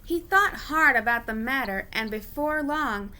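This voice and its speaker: A woman's voice: on the high side, lively intonation, measured pace, sharp tone. A woman reading a story aloud as an amateur narrator, often stumbling and rereading phrases.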